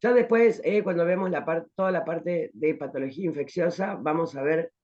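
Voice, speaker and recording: A woman's voice: fairly low pitch, moderate pace. Woman teacher, explanatory, checks in with "¿eh?", dull online-call sound. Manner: explanatory, rhetorical questions, frequent "¿eh?" tags. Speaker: pharmacology teacher. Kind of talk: online class lecture.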